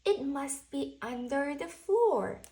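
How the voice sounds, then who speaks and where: A woman's voice: high voice, very animated, slow pace, dark tone, pitched above the performer's own voice, full character voice. A woman voicing a fretful, sleepy owl in an emphatic, exclamatory children's storybook style. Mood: suspicious. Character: fretful, sleepy owl, increasingly exasperated by a noise.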